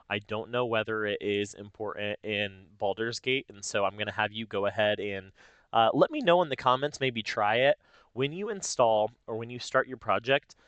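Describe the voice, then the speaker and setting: A man narrating a casual software tutorial step by step, informally thinking aloud as he goes. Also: fairly low pitch, clear, resonant tone, no echo. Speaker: tutorial host.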